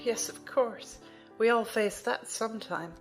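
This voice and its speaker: A woman playing a middle-aged noble mother in an audio drama, speaking in a gentle, measured, reflective way. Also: fairly high pitch, faint music behind, low-quality recording. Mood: reflective, tender.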